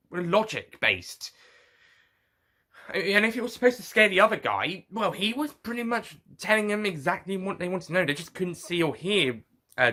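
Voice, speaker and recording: A man giving an informal spoken review to viewers, rambling and haltingly correcting himself as he goes. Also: fairly high pitch, pushed and bright. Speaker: reviewer and host.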